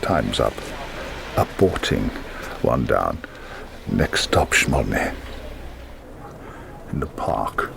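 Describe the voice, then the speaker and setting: An actor playing a wry, sardonic English publisher turned reluctant spy in a radio drama. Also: male voice, very deep voice, animated, very slow, vocal fry, long pauses, background noise, full character voice. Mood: muttering.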